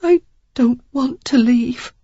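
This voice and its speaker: A high-pitched, anxious young woman, put on by a female narrator, babbling and pleading on the edge of tears. Her voice is breathy and slightly husky. The recording is dry and a little dull. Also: a little slow, a little mellow, no echo, heavily acted. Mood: tearful.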